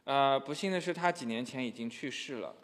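A man's voice: medium pitch, brisk. A man interpreting a sermon into another language in a church hall, hesitant and full of fillers. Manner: hesitant, many filler sounds. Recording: echoey hall.

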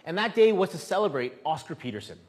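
Canadian guy preaching in a church hall, lively up-and-down voice, halting bits for translation, long reverb. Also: fairly high pitch. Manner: halting, stops often for interpretation. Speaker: guest preacher. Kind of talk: sermon with live interpreter. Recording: echoey hall.

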